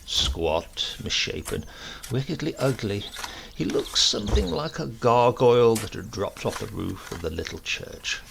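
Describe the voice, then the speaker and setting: A man narrates a literary tale in a very gravelly, creaky voice. His pitch moves in lively sweeps as he shapes each phrase deliberately. Faint noise sits behind the reading. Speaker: reader of a comic short story. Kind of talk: scripted narration, literary storytelling.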